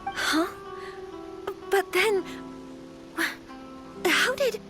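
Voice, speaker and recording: A woman playing a gentle young noblewoman in a video game, earnest and troubled, polite and hesitant, with music behind her. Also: extremely high pitch, expressive, very slow, exaggerated voice. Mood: shaken, hesitant. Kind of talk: video game character acting.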